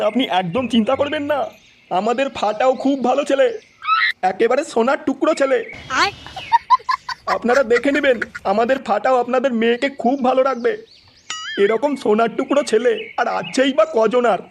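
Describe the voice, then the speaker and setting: A man playing a crude middle-aged village father in a comedy cartoon, exclaiming and fussing at everyone around him. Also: extremely high pitch. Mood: eager, reassuring. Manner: exclamatory, scolding then coaxing, drawn-out lamenting. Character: middle-aged village father, crude, henpecking husband, pompous groom's dad. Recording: music behind the voice.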